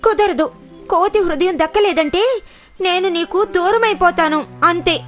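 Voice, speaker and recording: A woman acts out a greedy, demanding wife crocodile from a kids' cartoon. The voice is piercingly high. Music runs underneath. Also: unhurried, a bit bright. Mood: stubborn. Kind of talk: children's cartoon story voice acting. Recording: music behind the voice.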